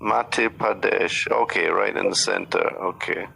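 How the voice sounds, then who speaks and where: A man from Scotland, tutoring English over a video call in a patient, encouraging way and explaining words slowly. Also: low voice, vocal fry, bright, forceful tone, slightly rough, low-quality recording. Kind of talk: casual conversation lesson.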